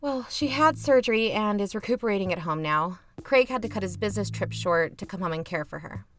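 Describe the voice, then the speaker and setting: A woman's voice: medium pitch, lively intonation, fairly quick, a little hiss or hum, close to an everyday voice. A woman playing a reluctant, sardonic younger sister who hosts an investigative podcast in an audio drama, talking casually. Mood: subdued, troubled. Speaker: podcast host character. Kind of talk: conversational audio fiction acting.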